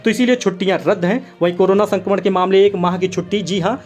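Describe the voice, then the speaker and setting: A man's voice: high voice, steady tone, fast talker, darkish tone. A man presenting scripted news narration for an online video channel, addressing viewers directly as friends. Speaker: host of a news explainer channel. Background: background music.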